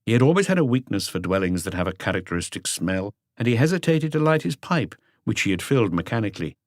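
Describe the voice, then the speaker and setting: A man's voice: on the low side, very animated, fast talker, no room sound, studio quality. A man narrating an audiobook story from a script.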